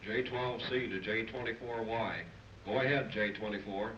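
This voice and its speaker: A man's voice: lowish voice, fairly even intonation, normal speed, fairly bright tone, distant mic, close to an everyday voice. Businesslike male radio operator, acted, roomy faraway sound, noisy muffled recording, 1930s radio drama. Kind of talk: 1930s radio serial acting.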